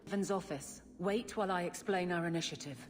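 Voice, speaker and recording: An actress plays a dutiful guardswoman in a fantasy game. Her lowish voice is serious and no-nonsense, with some reverb and faint noise. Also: fairly quick, close to an everyday voice. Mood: frustrated. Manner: earnest, no-nonsense. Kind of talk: video game cutscene acting.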